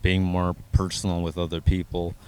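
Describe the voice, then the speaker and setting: An American man giving measured, personal interview answers in an earnest, slightly formal way. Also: low-pitched, monotone, a little mellow, clear, resonant tone. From Minnesota, United States. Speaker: interviewee sharing his adoption story.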